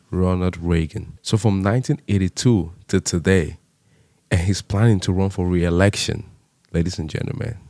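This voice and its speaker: Young man from Cameroon, vlogger; fervent rhetorical commentary, warm low voice. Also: no echo. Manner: impassioned, rhetorical questions, rising pitch when stressed. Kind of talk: commentary monologue.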